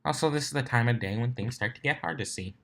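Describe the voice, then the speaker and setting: A man's voice: mid-range voice, fast, gravelly, a bit bright. A man voicing a sensible female unicorn who mediates in a placating, reasonable manner for a story reading.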